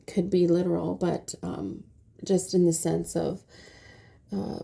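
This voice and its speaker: Soft-spoken stuffy-nosed woman reading tarot. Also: lowish voice, slow, vocal fry. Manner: soft, hesitant, many ums. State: stuffy nose. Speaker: tarot reader.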